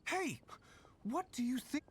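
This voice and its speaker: A woman's voice: high voice, very animated, a little slow, noisy background. A woman voicing a stern, principled hunter in a video game, scolding firmly. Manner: scolding, firm. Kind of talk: video game character voice acting.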